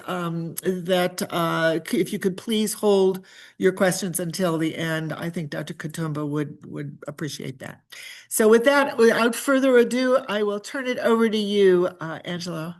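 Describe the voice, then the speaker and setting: A woman's voice: fairly low pitch, measured pace. A woman hosting a discussion group on a video call, introducing the guest speaker with some hesitation and fillers.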